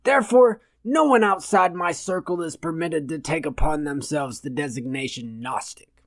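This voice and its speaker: A man's voice: on the high side, normal speed, a bit bright, no echo. Guy doing a pompous old doom philosopher, preachy and wordy, audiobook character, dry sound. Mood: haughty, scornful. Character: pompous, gloomy old male self-styled philosopher guru.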